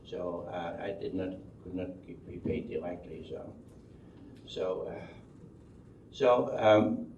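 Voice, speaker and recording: Deep-voiced older Danish man, roomy recording. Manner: halting, rambling, with repeated words. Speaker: speaker telling life story. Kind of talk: unscripted oral history storytelling. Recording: dull, roomy, distant microphone, faint noise.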